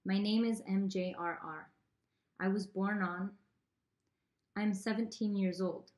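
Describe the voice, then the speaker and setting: A young woman seated indoors, reading a first-person legal declaration slowly and carefully. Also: mid-range voice, fairly even intonation, darkish tone. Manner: slow, careful reading from paper. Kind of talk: solemn reading of testimony. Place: small indoor room with plain wall, seated. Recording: echoey room.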